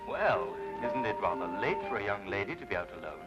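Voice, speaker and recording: A man playing a courteous, reassuring gentleman helping a child in an old radio drama. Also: on the low side, big pitch swings, a little fast. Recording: dull old radio recording, loud music.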